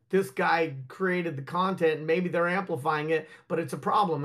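A man with a distinctly high voice speaks as a panelist in a casual discussion. He is frank and opinionated, using loose, colloquial phrasing. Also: a bit bright. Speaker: talk show panelist.

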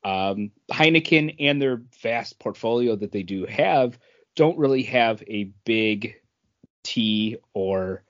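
Man chatting haltingly, dull lo-fi sound. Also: on the low side, animated, a bit bright, no room sound. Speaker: podcast co-host. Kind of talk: casual chat explaining beer industry news.

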